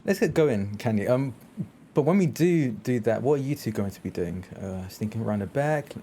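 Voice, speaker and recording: A man casually and haltingly describing his character's actions as a player in a tabletop game. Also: medium pitch, lively intonation, mellow, slightly rough.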